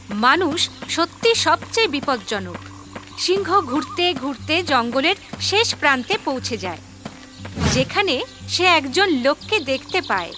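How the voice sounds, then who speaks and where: A woman narrating a scripted children's story as the storyteller. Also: sits high.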